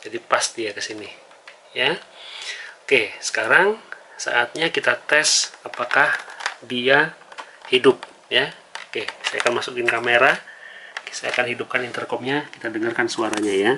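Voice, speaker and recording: A man's voice: medium pitch, normal speed, pauses often, pushed and bright, slight background noise. An Indonesian man giving a casual, conversational hands-on tutorial as a vlogger. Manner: conversational, often tags phrases with "ya".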